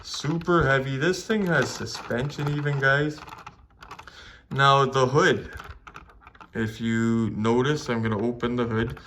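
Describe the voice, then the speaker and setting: A man's voice: mid-range voice, slow pace, fairly bright tone, slight background noise. A man with a Canadian accent hosting a casual collectibles review, talking straight to viewers with enthusiasm and repeating phrases for emphasis. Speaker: collectibles video host.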